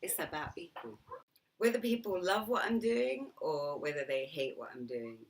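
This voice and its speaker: A woman's voice: low voice, expressive, normal speed, far from the mic. A middle-aged woman vlogging in a chatty, reflective monologue, laughing often and dropping into whispered asides. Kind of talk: reflective casual monologue to camera.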